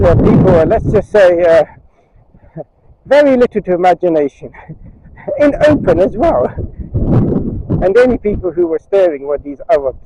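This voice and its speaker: A man's voice: on the high side, muffled recording. A man filming a rambling walking vlog outdoors on a windy beach, chatting to his viewers through a chest-strapped camera mic. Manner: chatty, wandering asides, talks to viewers. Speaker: travel vlogger. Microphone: chest-strapped action camera mic. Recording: wind noise on a body camera mic.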